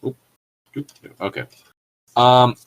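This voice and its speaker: Guy riffing on a silly story, casual rambly co-host, bit sweary, medium pitch. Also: takes it slowly. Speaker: co-host reading and riffing on fan fiction. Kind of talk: casual comedic chat and mock reading.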